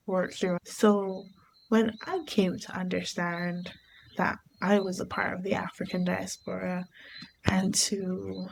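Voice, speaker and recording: A woman from Barbados hosting her own podcast, talking through her thoughts in an unhurried, reflective way. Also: medium pitch, gravelly, slight background noise, rough recording. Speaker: podcast host. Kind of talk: reflective solo podcast monologue.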